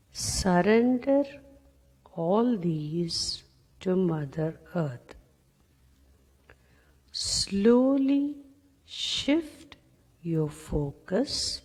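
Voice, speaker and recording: A woman with a slightly low voice leads a guided relaxation at an extremely slow pace. She gives calm instructions in short phrases with space between them, and a long reverb trails her voice. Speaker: yoga nidra meditation guide. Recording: long reverberant hall-like echo.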